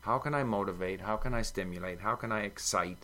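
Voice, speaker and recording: A man's voice: on the low side, fairly quick, bright, forceful tone. An older man in casual conversation, giving firm opinions with many fillers and restarts. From Canada. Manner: opinionated, emphatic, frequent fillers. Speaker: language learning guest.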